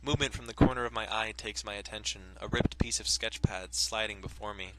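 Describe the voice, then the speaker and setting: A man's voice: fairly low pitch, fairly even intonation, a little fast, very noisy. A man hosting a let's play, reading game text aloud and cracking crude jokes between lines. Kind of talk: casual gameplay commentary and reading aloud.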